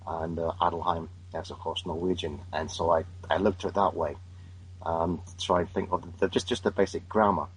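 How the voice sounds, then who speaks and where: A man with a low voice talks in a flat, even tone. He speaks emphatically and with strong opinions, repeating words as he starts his sentences. The talk is a casual panel chat. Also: a little fast, slight background noise, rough recording.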